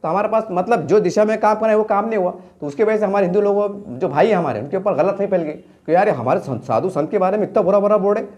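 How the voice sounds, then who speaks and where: High-voiced man with Deccani accent, impassioned commentary. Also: fast, hardly any pauses, darkish tone. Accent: Deccani Urdu-Hindi of Maharashtra. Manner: rhetorical questions and emphatic repetition. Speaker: local news journalist and commentator. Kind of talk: impassioned off-the-cuff political commentary.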